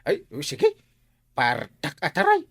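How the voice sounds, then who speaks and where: A man with an Ilocano accent playing a boastful, excitable village man in a radio comedy drama, exaggerated and comic, with vocal sound effects. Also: on the high side, measured pace, creaky, breathy edge, no echo, studio quality, heavily acted. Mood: boastful, animated.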